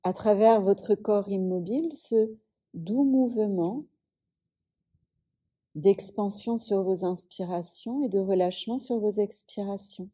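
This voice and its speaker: A woman yoga teacher leading a guided relaxation in a soothing, unhurried way, leaving long pauses between phrases. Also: mid-range voice, mellow, slightly rough.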